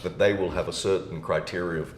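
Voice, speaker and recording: A man's voice: low voice, fairly even intonation, fast talker. A man hosting a casual podcast interview in an informal, matey and opinionated way. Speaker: podcast host. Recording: echoey room with faint noise.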